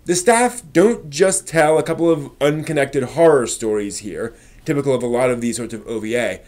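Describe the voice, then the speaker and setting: A man hosting a review stream, reading scripted commentary with emphatic, measured phrasing and casual conversational asides. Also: medium pitch, darkish tone. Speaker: host of an anime review live stream.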